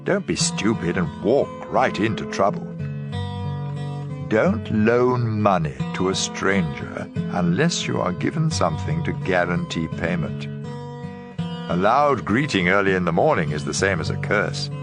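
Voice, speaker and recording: A man giving a measured, emphatic dramatized reading of scripture as an audio Bible reader. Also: low voice, big pitch swings. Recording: guitar music bed. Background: plucked guitar music.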